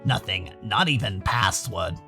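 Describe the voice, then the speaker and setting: A man voicing an awkward, anxious furry animal housemate in a visual novel, with a low gravelly voice and hesitant manner. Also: lively intonation, measured pace, pushed and bright, heavily acted. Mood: explanatory. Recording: music behind the voice.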